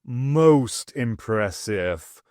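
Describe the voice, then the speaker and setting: A man voicing an imperious, menacing alien leader for an audiobook, giving cold, drawn-out commands. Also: medium pitch, lively intonation, a little mellow, no room sound, clean studio sound.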